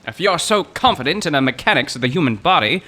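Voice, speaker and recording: Excitable male professor, acted, high and swooping voice, florid and overwrought, comic audio drama, some ambient noise. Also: fast. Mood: agitated.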